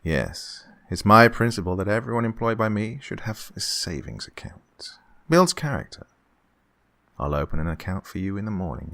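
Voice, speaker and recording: A man's voice: sits low, gravelly, fairly bright tone, exaggerated voice. A man acting a suave, gloating murderous employer in a horror radio play, with oily politeness and fussy, menacing repetition. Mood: brisk, ingratiating. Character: suave, gloating male employer who murders his housekeeper.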